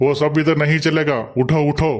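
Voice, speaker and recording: A man's voice: fairly high pitch, flat delivery, brisk, natural voice. A man playing a stern, patriotic Punjabi border soldier who scolds and commands in a comic cartoon. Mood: scolding. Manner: commanding, mixes Hindi into Telugu.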